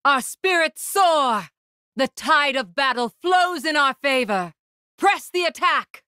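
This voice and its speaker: A woman voicing a proud, composed, regal warrior spirit in a video game, with formal, commanding, measured diction. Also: high voice, animated, sharp tone, no room sound, clean studio sound, heavily acted. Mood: resolute, commanding. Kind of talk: video game battle lines.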